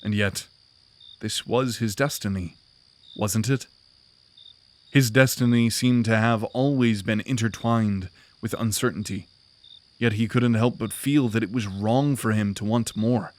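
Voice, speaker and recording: A man narrating a fantasy audio drama in a steady, even storytelling manner. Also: on the low side, a little slow.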